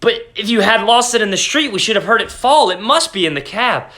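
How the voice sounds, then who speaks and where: A man's voice: high voice, lively intonation, brisk, sharp tone, voice pushed up. A man voicing a worried, practical young husband who speaks urgently and earnestly in a storybook reading. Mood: alarmed.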